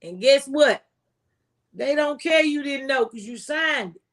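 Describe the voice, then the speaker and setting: A woman's voice: high voice, expressive, a little slow, fairly bright tone. An American woman speaking as a former tax agent, giving folksy, emphatic advice and repeating phrases for stress. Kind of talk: impassioned conversational tax advice.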